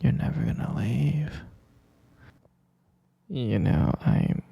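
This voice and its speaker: A man whispers in a dark, very gravelly voice, playing an obsessive captor in an ASMR roleplay. His delivery is slow, breathy and halting, tender yet possessive, as he coaxes and repeats himself. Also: medium pitch, animated. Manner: slow, halting, repetitive, coaxing.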